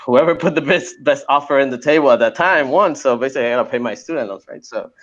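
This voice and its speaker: A man's voice: medium pitch, fast talker. Hesitant man from Puerto Rico, lo-fi sound. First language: Spanish. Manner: hesitant, many fillers and restarts. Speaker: guest. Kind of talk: casual interview talk. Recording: dull lo-fi sound.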